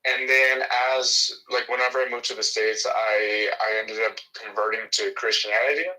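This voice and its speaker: Very bright stammering Mexican-born man. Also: on the low side, level tone, normal speed, rough recording. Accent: faint Mexican Spanish. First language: Spanish. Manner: friendly, stammering restarts. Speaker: video chat participant. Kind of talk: casual video chat. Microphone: video call.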